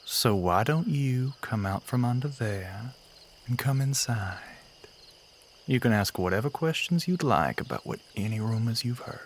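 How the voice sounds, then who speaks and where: A man playing a courtly, softly menacing Southern vampire in an intimate audio roleplay, speaking softly and breathily, often near a whisper, with a Southern US accent. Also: medium pitch, animated, measured pace, slight background noise, close to an everyday voice. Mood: calm warning.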